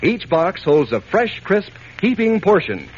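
A man's voice: big pitch swings, unhurried, breathy edge. An American man announcing in a folksy, enthusiastic 1940s radio advertising style aimed at children. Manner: folksy, enthusiastic sales pitch. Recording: dull old radio recording, faint noise.

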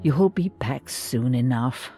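A steady, affectionate wife in a futuristic radio play. Her voice is low and warm, with an animated melody and a gentle delivery. Faint music plays behind her. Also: slow pace, exaggerated voice. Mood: tender, reassuring. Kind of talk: audio drama acting.

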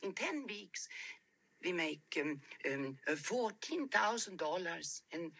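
An actress playing a timid, pious Swedish woman missionary who speaks halting, broken English with a Swedish accent. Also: lowish voice, sing-song, vocal fry, fairly bright tone, slightly rough, full character voice. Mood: hesitant.

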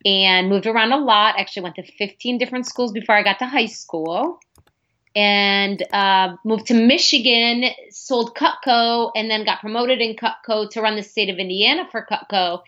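A woman's voice: mid-range voice, normal speed. Female podcast guest, thirties, originally from Lebanon, non-native English speaker, candid and informal, on a slightly muffled mic. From born in Lebanon, moved to America at ten. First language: not English. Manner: candid, informal, animated quoting of own thoughts. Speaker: podcast guest, nonprofit founder. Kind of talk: casual conversational interview.

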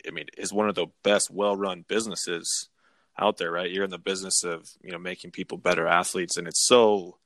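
A man's voice: low voice, a bit bright, no echo. A man hosting an interview, asking his questions in a casual, conversational way. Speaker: interviewer, host.

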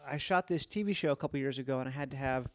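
A man's voice: medium pitch, racing, no room sound. A man hosting a podcast in a casual, comedic chat, talking animatedly and swearing freely. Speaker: podcast host.

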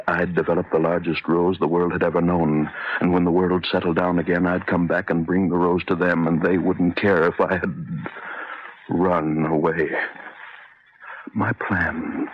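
Haunted chemist, deep and slow, brooding over his failure. Vintage radio sound. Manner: brooding, halting, fragmented monologue. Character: anguished, self-reproaching male chemist recalling his downfall. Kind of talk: old-time radio drama acting. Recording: muffled, noisy old radio recording.

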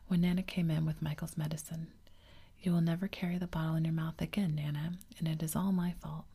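A woman's voice: low-pitched, little pitch movement, brisk, creaky, warm tone, husky, full character voice. A woman whispering the lines of a fussy, blustering middle-aged father in a storybook reading. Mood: musing.

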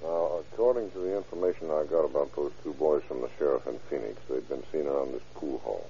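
A tough, terse police investigator speaks in a deep voice with clipped authority. It sounds like an old radio crime show, with a dulled recording. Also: male voice, fast, mellow, muffled recording, slight background noise. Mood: businesslike.

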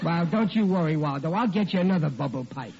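An actor playing a flustered, blustery, stammering working-class husband in a vintage radio sitcom. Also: male voice, fairly high pitch, animated, quick pace, a crowd in the background. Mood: blustering, defensive. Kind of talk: 1940s radio sitcom acting. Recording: muffled, noisy old radio recording.

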